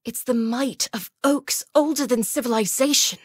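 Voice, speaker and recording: A woman's voice: fairly high pitch, normal speed, breathy edge, dry recording, studio quality. An actress voicing a proud, fierce elf woman who guards an ancient forest, speaking in a formal, imperious, defiant manner for a video game. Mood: solemn resolve. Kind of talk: video game character voice lines. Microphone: close studio mic.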